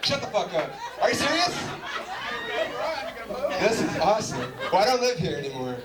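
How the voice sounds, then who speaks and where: A man's voice: sits high, moderate pace. A man from Southern California doing a loose, chatty stand-up set and bantering with a live audience. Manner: animated, chatty, loose with fillers, banters with the crowd. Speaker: stand-up comedian. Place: comedy venue before a live audience. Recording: roomy live venue recording from a distance with crowd noise. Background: audience laughter.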